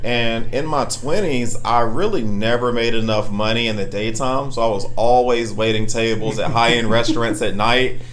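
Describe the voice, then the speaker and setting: A man's voice: mid-range voice, normal speed, hardly any pauses, fairly bright tone, poor audio. A man, a real estate agent speaking as a podcast guest in a confident, upbeat, polished manner. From Athens, Georgia. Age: 30s. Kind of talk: podcast interview. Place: indoor office. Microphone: podcast mic.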